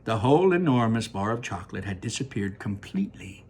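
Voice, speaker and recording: A man's voice: lowish voice, expressive, brisk, a little mellow, a little hiss or hum. A man, a teacher, reading a children's book aloud and then chatting about it in a rambling, informal way. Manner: rambling and informal, full of fillers and restarts. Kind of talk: storybook read-aloud and chat. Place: room in his home without air conditioning.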